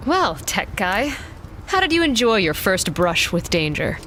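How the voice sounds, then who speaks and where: Tough female mech pilot: medium pitch, dry and blunt, casual audio drama delivery, faint ambience. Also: big pitch swings, measured pace, fairly bright tone, a little hiss or hum. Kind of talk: science fiction audio drama acting.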